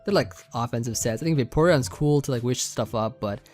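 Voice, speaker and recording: A young man casually talking through a team build, rambling with many fillers, his voice getting a bit hoarse. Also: medium pitch, brisk, soft background music. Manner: relaxed, rambling, many fillers and restarts, light laughs. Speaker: competitive Pokemon team builder. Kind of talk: casual gaming commentary over team building.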